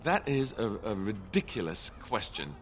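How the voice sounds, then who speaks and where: A man's voice: on the low side, big pitch swings, normal speed, slight background noise. An actor playing a wry, defensive young male warrior companion in a fantasy video game. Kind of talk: video game dialogue acting.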